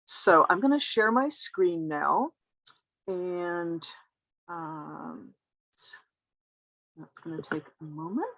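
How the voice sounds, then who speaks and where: A woman's voice: on the low side, takes it slowly, pauses often, fairly bright tone, dry recording, low-quality recording. A woman giving a careful, explanatory academic talk as a guest lecturer over a video call.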